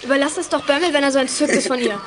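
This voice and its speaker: A woman's voice: sits high, very fast, lightly acted. A young female actor playing a jealous, snippy teenage girl with a biting, mocking manner in a children's audio drama. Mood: jealous, scornful.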